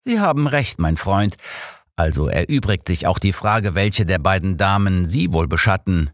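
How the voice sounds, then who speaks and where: A man's voice: low voice, expressive, moderate pace, dry recording, clean studio sound. A man reading an audiobook, voicing a shrewd, assured old master detective who speaks formally and analytically. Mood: brisk. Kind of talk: audiobook character dialogue.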